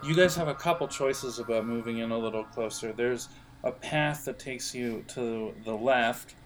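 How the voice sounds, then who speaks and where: A man narrating an improvised role-playing game as game master, conversational and pausing to think. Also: mid-range voice, music in the background.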